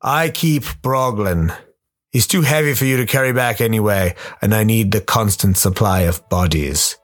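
A man playing a sly, towering demonic gardener in a radio play, courteously menacing, teasing and unhurried. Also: on the low side, close to an everyday voice. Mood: gloating. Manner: unhurried, teasing, mock-polite. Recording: music behind the voice.

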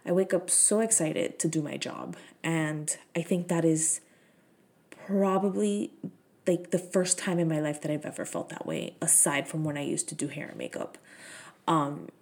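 A woman in her thirties hosts a solo podcast, talking casually. Her voice sits a little low, and she chats frankly with plenty of swearing and fillers. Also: normal speed, a little mellow. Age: 30s. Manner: chatty, frank and profane, with many fillers. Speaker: podcast host.

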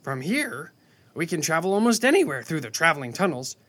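A man's voice: on the high side, big pitch swings, brisk, fairly bright tone. A man voicing a proud, grumpy rabbit scribe with a fussy, pompous manner in a children's audio drama. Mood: pedantic. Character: grumpy, proud male rabbit scribe, pedantic and easily offended.

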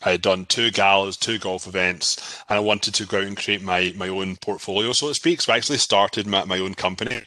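A man's voice: low voice, steady tone, brisk, hardly any pauses, pushed and bright, no echo. A man from Scotland in his thirties, with a Scottish accent, chatting casually as a podcast guest, often repeating words and laughing easily. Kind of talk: casual interview chat.

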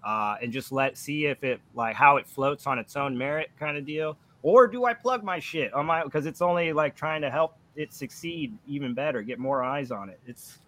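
A man's voice: a little high, normal speed, few pauses, fairly bright tone. Casual, foul-mouthed man chatting. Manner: profane, laughs easily; self-deprecating. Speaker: book review channel host and aspiring writer. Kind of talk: casual chat.

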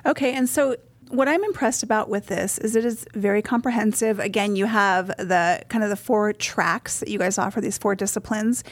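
A woman's voice: medium pitch, moderate pace, no room sound. An American woman co-hosting a podcast, commenting and asking questions in a conversational way. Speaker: podcast co-host. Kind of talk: conversational interviewing.

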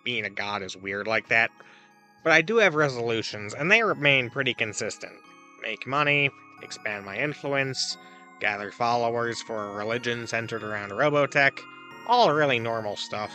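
Casting: male actor for a breezy, self-assured god hosting a comedy advice podcast, very crisp bright edge, theatrical emphasis, music underneath. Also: medium pitch, animated, moderate pace. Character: cheerful deluded god dispensing absurd, dangerous advice. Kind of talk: comedic audio drama monologue, advice show.

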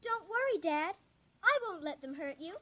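High-pitched, eerily earnest little girl. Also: very animated, moderate pace, a bit bright, no echo, exaggerated voice. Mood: pleading, reassuring. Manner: childlike, insistent, chatty. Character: earnest, eerily matter-of-fact young girl playing invasion. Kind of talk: old-time radio drama acting.

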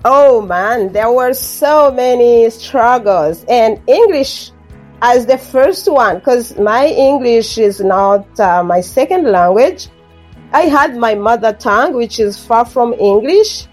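A woman's voice: fairly high pitch, lively intonation, slow pace, darkish tone. Woman, earnest, repetitive phrasing, light music behind. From Rwanda. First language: Kinyarwanda. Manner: earnest, repeats phrases, frequent ums. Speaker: guest author. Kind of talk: interview conversation.

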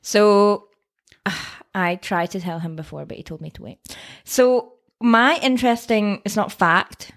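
A woman's voice: medium pitch, a bit bright. A woman in her twenties with a Scottish Borders accent, co-hosting a casual podcast chat in a playful, teasing way. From Scotland. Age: 20s. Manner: playful, teasing, quick to laugh. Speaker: co-host.

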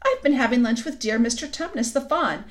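A woman voicing a happy, excited little girl who talks eagerly. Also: fairly high pitch, brisk, pitched above the performer's own voice, natural voice. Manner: breathless, eager.

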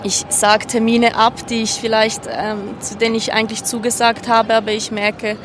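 A woman giving reflective, halting answers in a spontaneous interview recorded outdoors on a street. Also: on the high side, little pitch movement. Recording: clear outdoor street and traffic noise. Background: urban outdoor ambience.

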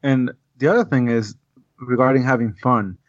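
A man's voice: medium pitch, moderate pace, no echo. Man on a dull dry line. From Puerto Rico. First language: Spanish. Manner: earnest, repeats words while thinking. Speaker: game designer guest. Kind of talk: casual podcast chat. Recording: dull, dry podcast audio.

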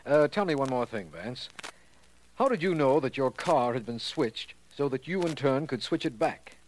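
An actor playing an earnest, impressed district attorney, asking questions in 1940s radio drama style. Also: male voice, medium pitch, animated, normal speed, a little hiss or hum, close to an everyday voice, poor audio. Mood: earnest, inquiring. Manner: earnest, inquiring.

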